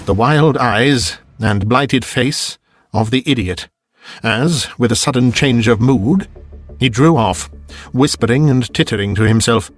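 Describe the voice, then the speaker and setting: A man narrating a Victorian ghost story as an audiobook in a measured, deliberate storytelling manner. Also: medium pitch.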